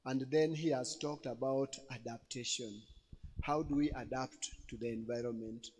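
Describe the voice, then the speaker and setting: A man moderating a church program, speaking slowly with many fillers and asking the audience questions. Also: medium pitch, steady tone, a little mellow, slight background noise. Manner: slow, halting, many fillers. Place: church hall. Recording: long hall reverb.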